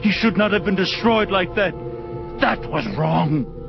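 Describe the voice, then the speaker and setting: An actor playing an anguished, proud angel who questions divine justice, speaking solemnly and formally with passion and a creaky edge. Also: male voice, high voice, a little slow. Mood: indignant. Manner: impassioned, solemn, formal diction. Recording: dull lo-fi audio under loud music.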